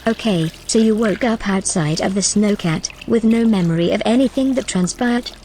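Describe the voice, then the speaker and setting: A woman playing a calm, measured station AI. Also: medium pitch, a little hiss or hum, lightly acted. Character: calm AI station assistant woman, helpful, precise. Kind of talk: audio drama acting.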